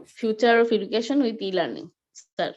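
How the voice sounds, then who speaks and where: A woman with a fairly high voice puts questions on an online call. She is deferential and repeats herself often. The audio is dull and lo-fi. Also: animated, fairly quick, a little mellow. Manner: deferential, repetitive, constantly says sir. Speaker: interviewer on an award panel.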